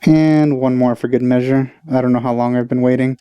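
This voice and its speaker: A man's voice: mid-range voice, steady tone, brisk, clean tone, lo-fi sound, soft background music. A man giving casual gameplay commentary in offhand asides. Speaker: let's player.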